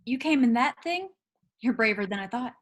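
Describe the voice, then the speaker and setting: Actress as rebel princess, high voice, commanding, sharp-tongued, sci-fi table read. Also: female voice, expressive, normal speed. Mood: scornful. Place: virtual read, recorded remotely.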